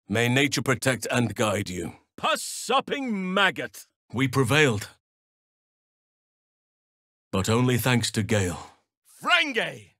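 An actor playing a wise, gentle and steadfast elf druid in a fantasy video game, speaking in measured, formal phrases. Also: male voice, mid-range voice, no room sound, studio quality, full character voice. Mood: fierce battle cries. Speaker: video game companion character. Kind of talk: acted fantasy game dialogue.

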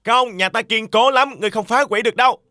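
Eldest little pig brother: high-pitched, sharp, anxious and stubborn, for a fairy tale cartoon. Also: male voice, fast talker. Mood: defiant.

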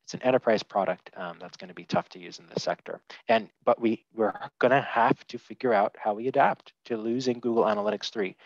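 A man's voice: on the low side, a little fast, creaky, few pauses, dry recording. A man on a headset in a small room, giving candid, opinionated views as a panelist in a webinar, with many fillers and restarts. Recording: dull lo-fi video call audio.

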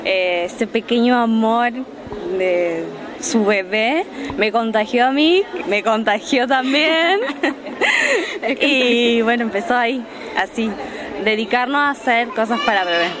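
Woman, young adult, soft, hesitant, indoor fair, crowd chatter. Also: a little high, takes it slowly. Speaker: craft vendor. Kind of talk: casual interview. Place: large indoor fair hall. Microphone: handheld mic.

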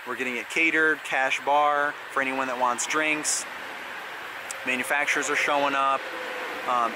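A man in his thirties giving a relaxed, conversational event announcement outdoors in a wooded park, filmed by a handheld camera held close to his face. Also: mid-range voice, background noise. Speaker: event organizer. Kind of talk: casual vlog announcement.

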